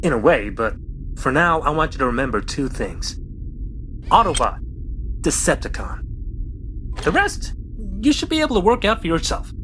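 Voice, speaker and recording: A wry, patient robot mentor played by a man in an audio drama, explaining things in measured phrases. The pitch moves expressively, with faint noise behind. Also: sing-song, a bit bright. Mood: wry, grave.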